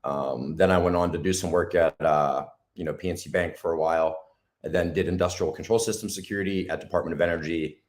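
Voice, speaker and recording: A man with a hoarse, raspy voice, talking casually as an interview guest with many ums and restarts. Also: low voice, steady tone. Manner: halting, lots of ums and restarts.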